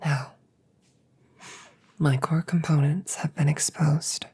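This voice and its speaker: A woman playing a calm, reassuring damaged female android in an ASMR audio roleplay, speaking in soft, breathy whispers with measured, formal phrasing. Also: sits low, full character voice. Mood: calm, instructing. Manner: slow, measured, precise formal phrasing with pauses.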